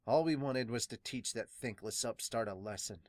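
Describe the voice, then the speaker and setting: A man playing a weary, solemn pirate doctor in a fan manga dub, subdued, measured and earnest. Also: medium pitch, dry recording, clean studio sound, lightly acted. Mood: solemn, reflective. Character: weary, solemn veteran pirate doctor recounting his late captain.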